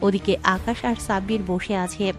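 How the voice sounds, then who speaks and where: A woman narrates a scripted audio story in a middle-pitched voice. Music plays softly behind her narration. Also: fairly even intonation, moderate pace.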